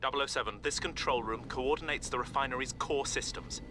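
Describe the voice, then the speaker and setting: An actor playing an efficient intelligence technician in a video game, briefing an agent in a precise, businesslike way. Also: male voice, medium pitch, brisk, over loud music, natural voice. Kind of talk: cinematic video game acting. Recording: clear vehicle and ambient noise.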